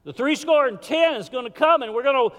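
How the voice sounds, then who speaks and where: A man preaching a sermon in a church, swinging from fervent calls to hushed, low warnings. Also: animated, brisk. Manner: impassioned preaching with sudden drops to a hush. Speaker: pastor. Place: church sanctuary. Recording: hall reverb.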